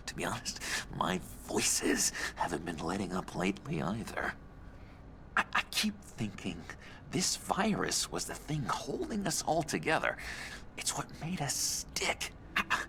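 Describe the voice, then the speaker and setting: A man playing a giddy, insecure, needy young villain in a video game, with a theatrical, halting, stammering delivery. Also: medium pitch, bright, forceful tone, slight background noise, full character voice. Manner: theatrical, halting, stammering, swinging from glee to confiding.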